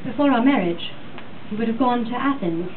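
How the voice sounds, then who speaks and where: A woman voicing a gentle, anxious young Victorian wife from a gothic novel, earnest, polite and questioning. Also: a little high, lively intonation, normal speed, phone-quality sound, close to an everyday voice. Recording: distant mic, thin sound, noisy room.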